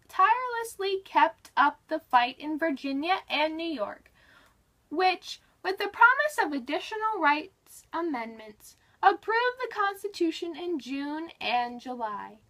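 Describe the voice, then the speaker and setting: A woman giving an expressive, emphatic read-aloud of a scripted history book, with deliberate phrasing. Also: very high voice, rough recording. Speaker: reader of a nonfiction history book.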